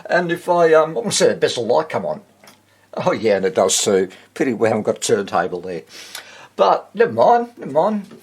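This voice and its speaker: A husky Australian man with plenty of vocal fry and swings in pitch. He talks casually, easygoing and rambling, thinking aloud as he explains a repair. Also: big pitch swings, brisk. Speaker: host of a vintage radio repair channel. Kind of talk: casual chat to camera about a repair.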